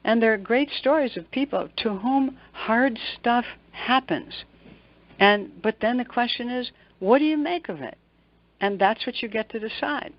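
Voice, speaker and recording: A woman's voice: a little high, measured pace. Earnest mature woman, slightly muffled audio. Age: middle-aged or older. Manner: earnest, emphatic, asks and answers own questions. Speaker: motivational speaker and coach, interview guest. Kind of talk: conversational interview answers.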